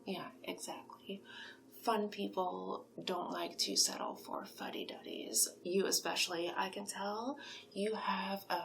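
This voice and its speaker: A woman acts out a female sphinx who works as a monster matchmaker in an ASMR roleplay. She speaks softly and slowly, with a creaky edge that slips into whispering. Her manner is chatty, coaxing and full of flattery, over faint background noise. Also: medium pitch. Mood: flattering, welcoming. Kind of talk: soft-spoken ASMR roleplay.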